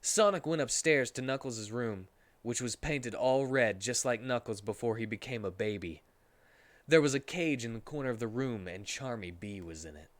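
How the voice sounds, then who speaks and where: A man's voice: mid-range voice, no room sound. A man streaming a dramatic reading of comic fan fiction, narrating in a theatrical, mock-serious way.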